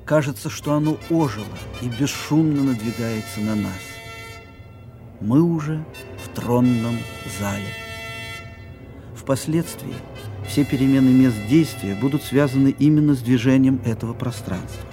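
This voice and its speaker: An actor playing a solemn narrator of an audio play, deliberate, with dramatic pauses. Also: male voice, medium pitch, lightly acted. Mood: solemn, atmospheric. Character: solemn atmospheric stage narrator. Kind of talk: theatrical scripted narration. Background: music.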